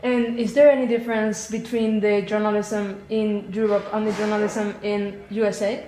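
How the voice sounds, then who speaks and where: A woman's voice: medium pitch, little pitch movement, moderate pace, dark tone, slight background noise. A woman interviewing a guest, asking questions and often murmuring short agreement. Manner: frequent short backchannel agreement. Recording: echoey room.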